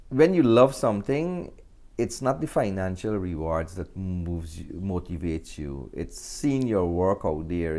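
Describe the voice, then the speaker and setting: Deep-voiced Guyanese man. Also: mellow. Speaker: film director and actor, interview guest. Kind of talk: reflective interview talk.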